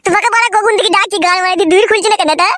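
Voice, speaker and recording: A woman's voice: very high-pitched, fairly even intonation, racing, a bit bright. A woman voicing a henpecked, whining, foul-mouthed young husband in a comedy cartoon, with a rural West Bengal accent. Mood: cross, insolent. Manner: whining, complaining, coarse-tongued.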